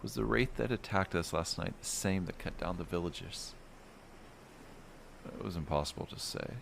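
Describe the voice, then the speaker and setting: Male storyteller, bottom-of-the-range pitch, measured dramatic fantasy narration for bedtime listening, slight noise behind. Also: vocal fry. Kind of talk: first-person bedtime fantasy story reading.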